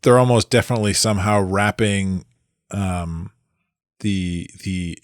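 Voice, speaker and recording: A man's voice: sits low, measured pace, no echo, studio quality. A man co-hosting a casual podcast chat, goofy and joking, often giggling. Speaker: podcast co-host.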